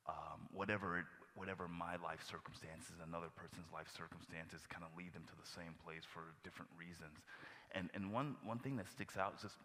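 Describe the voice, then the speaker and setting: A man speaking in a halting, reflective way at a panel, often restarting his sentences and dropping into soft asides. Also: deep voice, in a large hall. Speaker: panel speaker who gives Friday sermons at a jail. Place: church hall.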